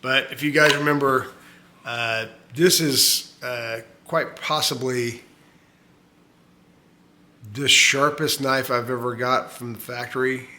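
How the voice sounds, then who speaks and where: A man's voice: medium pitch, fairly even intonation, takes it slowly, pauses often, sharp tone, slight background noise. A middle-aged man hosting a casual knife review channel, rambling and self-mocking, full of fillers and jokes.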